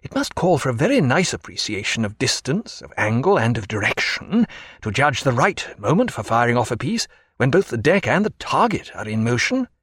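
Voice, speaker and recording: A man voicing a courteous, measured male ship's doctor with formal speech. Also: mid-range voice, sing-song, a bit bright, slightly rough, dry recording, studio quality.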